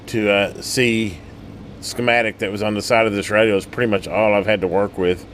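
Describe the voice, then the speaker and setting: A man with a fairly low voice talks in a colloquial, folksy manner. He rambles casually as he demonstrates hobby repair work, with a faint layer of noise behind him. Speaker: radio repair hobbyist and channel host. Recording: steady background hum and noise.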